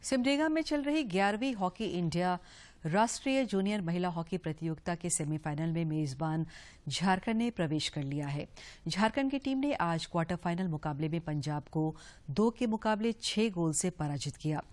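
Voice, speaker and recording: A woman news anchor reading regional news in a steady, formal delivery. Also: lowish voice, normal speed.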